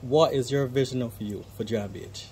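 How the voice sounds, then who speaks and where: A woman's voice: very deep voice, normal speed, a little mellow, slight background noise. A woman interviewer asking questions in a casual, conversational style.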